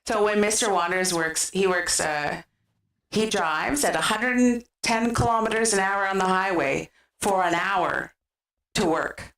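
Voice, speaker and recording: A woman with a western Canadian accent talks in a chatty, giggly way, like a gaming streamer on a casual livestream. Her pitch sits in the middle for a woman. The sound is roomy and a bit far from the mic. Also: unhurried, a bit bright. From British Columbia, Canada. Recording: roomy, distant microphone sound.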